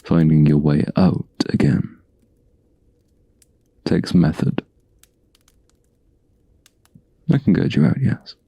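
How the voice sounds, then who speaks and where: A man voicing a gentle, protective huntsman in a soft-spoken, intimate ASMR fantasy roleplay, with slow, soothing, old-fashioned phrasing and a low, gravelly, breathy voice. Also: no echo, heavily acted. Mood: grave, brooding.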